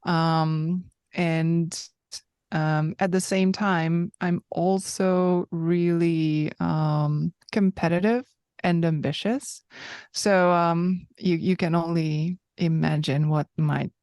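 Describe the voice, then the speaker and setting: A woman in her thirties with a low, smooth voice, recounting her story as an interview guest. She speaks slowly and reflectively, pausing often. The sound is dry and close. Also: fairly even intonation, darkish tone, no echo. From Hungary. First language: Hungarian. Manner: thoughtful and reflective, with frequent pauses.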